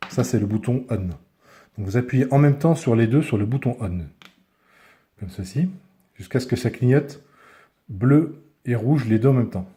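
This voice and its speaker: A man's voice: medium pitch, normal speed, long pauses, warm tone. A man giving a casual hands-on product review, speaking informally and often searching for his words.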